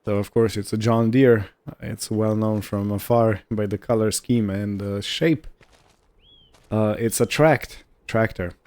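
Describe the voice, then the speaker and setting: A man's voice: lowish voice, fairly even intonation, a little slow, dark tone. A man giving casual roleplay gameplay commentary, musing aloud as he narrates what he is doing, with faint vehicle and ambient sound behind him. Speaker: gaming video host.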